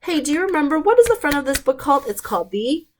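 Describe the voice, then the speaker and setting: A woman's voice: high-pitched, sing-song, fast talker, darkish tone, slight background noise. A woman hosting storytime, reading picture books aloud in simple, emphatic phrases and putting questions to young listeners. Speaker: storytime host reading to children.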